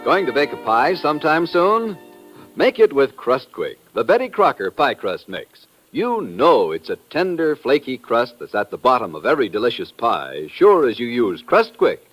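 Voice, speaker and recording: A man's voice: medium pitch, sing-song, normal speed, a bit bright, faint music behind. A man announcing in a polished, emphatic 1950s radio style, as for show credits and commercials.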